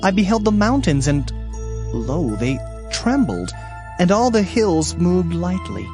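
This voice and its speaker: A man's voice: high-pitched, big pitch swings, measured pace, over music, full character voice. A man playing an anguished Hebrew prophet in a dramatized scripture reading, lamenting and pleading with theatrical emphasis. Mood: awed lament.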